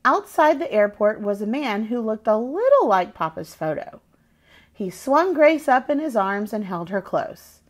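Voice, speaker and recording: A woman reading a children's picture book aloud as an expressive narrator. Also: fairly high pitch, a little slow.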